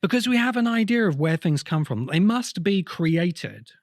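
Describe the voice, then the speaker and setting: A man hosting a podcast, explaining his argument in a deliberate, emphatic way with pauses. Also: high-pitched, sing-song, dry recording, clean studio sound. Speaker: podcast host. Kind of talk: explanatory podcast monologue, essay-like commentary.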